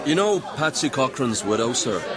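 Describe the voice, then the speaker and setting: A man's voice: medium pitch, big pitch swings, moderate pace, close to an everyday voice. A man playing a deferential, kindly soldier servant in a radio drama, hesitant as he asks favours. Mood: deferential, coaxing. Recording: crowd chatter behind.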